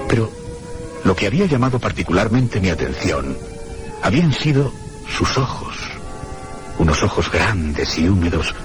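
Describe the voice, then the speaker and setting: Brooding traveller, deep expressive Castilian voice. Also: male voice. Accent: Castilian Spanish. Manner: literary, measured, suspenseful. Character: troubled, brooding male traveller recounting an eerie tale. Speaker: narrator and protagonist. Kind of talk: dramatic first-person radio drama narration. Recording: radio drama with music behind. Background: prominent music.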